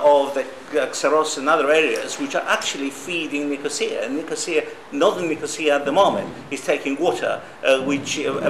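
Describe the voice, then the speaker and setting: Halting male conference speaker in an echoey room. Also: mid-range voice, fairly bright tone. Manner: halting, hesitant, with frequent pauses and repeated starts. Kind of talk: semi-prepared conference talk. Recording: roomy echo with faint background noise.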